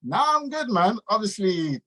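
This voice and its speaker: A man's voice: high-pitched, very animated, a little slow, sharp tone. A man hosting a casual interview, talking in an informal, slangy, encouraging way. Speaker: interviewer and show host. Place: remote video call.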